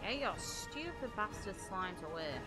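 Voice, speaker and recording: A woman's voice: mid-range voice, lively intonation, measured pace. A woman streaming a video game, talking casually to chat and reading out lore. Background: faint game music.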